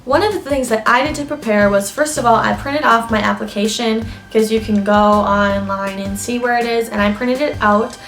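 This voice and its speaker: Higher-pitched young woman in an echoey room. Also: steady tone, normal speed, few pauses, a bit bright. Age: young adult. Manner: chatty, explanatory, lists points. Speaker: vlogger giving interview tips. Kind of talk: informal advice vlog, talking from notes. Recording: echoey room with background music.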